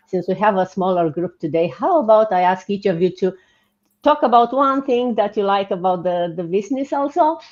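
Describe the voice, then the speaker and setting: A woman's voice: on the low side, darkish tone. A woman from Romania with a Romanian accent hosting an informal livestream in an encouraging way. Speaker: host. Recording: muffled, band-limited online stream audio.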